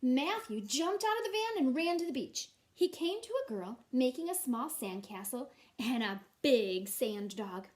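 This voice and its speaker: A woman's voice: sits high, expressive, a little slow, few pauses, darkish tone. A woman teacher reading a children's story aloud expressively, with casual, encouraging asides.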